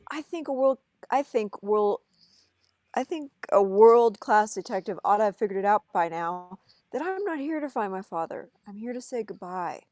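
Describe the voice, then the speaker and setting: A woman's voice: medium pitch, animated, normal speed, darkish tone, dry recording, lightly acted. Woman reading a blunt, exasperated young man, dry sound. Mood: firm, frustrated. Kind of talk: script table read.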